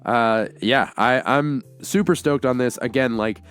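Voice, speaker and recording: A man's voice: medium pitch, measured pace, fairly bright tone, clean tone. A man co-hosting a film discussion, speaking in an informal, upbeat and slangy way. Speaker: co-host of a movie review show.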